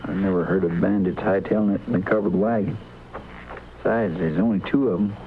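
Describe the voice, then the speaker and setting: A man's voice: low voice, steady tone, a little fast. An American man playing a lawman's loyal, deferential sidekick in a radio western, respectful and folksy. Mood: doubtful. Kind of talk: 1950s radio western acting. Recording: tinny, noisy old radio recording.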